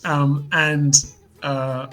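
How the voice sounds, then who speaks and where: A man in his fifties, a musician guest, reflecting on his life in relaxed, hesitant interview talk. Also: a little high, very slow, faint music behind. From United Kingdom. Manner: reflective storyteller, hesitant restarts. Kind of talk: casual interview chat.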